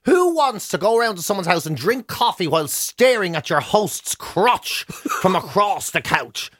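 A man's voice: sits high, sing-song, moderate pace, a bit bright, no room sound, studio quality. A man with a Dublin accent, a breakfast radio host doing comic, emphatic banter in mock outrage. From Ireland.

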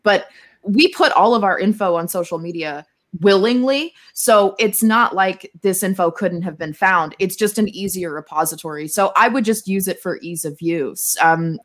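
A woman's voice: fairly low pitch, fairly bright tone. A woman speaking as a podcast guest in casual discussion, candid and playful.

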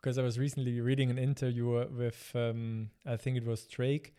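Upbeat podcast host, mellow-toned man. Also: medium pitch, little pitch movement, moderate pace, clean tone. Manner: enthusiastic, upbeat, frequent "uh" fillers. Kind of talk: podcast interview.

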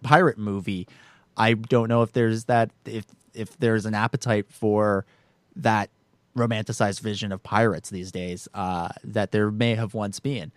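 A man's voice: lowish voice, steady tone, a little slow, dry recording. A man co-hosting a casual film discussion, hesitantly repeating and rephrasing his words as he thinks aloud. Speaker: podcast co-host.

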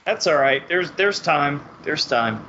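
A man's voice: on the high side, lively intonation, a little slow, sharp tone. A man co-hosting a podcast, chiming in with brief, laughing interjections. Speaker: podcast co-host. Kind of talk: casual podcast chat. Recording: lo-fi podcast audio with background noise.

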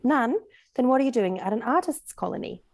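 A woman's voice: fairly high pitch, sing-song, brisk, a little mellow, no room sound, voice pushed up. A woman reading the part of a friendly, curious male painter in a romance novel, with a teasing, inquisitive manner.

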